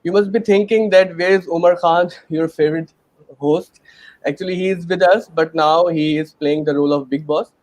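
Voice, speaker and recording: A man's voice: a little high, fairly even intonation, normal speed, darkish tone, no echo. A man with a Pakistani accent hosting a panel webinar in a chatty, earnest way, with many fillers. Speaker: webinar host.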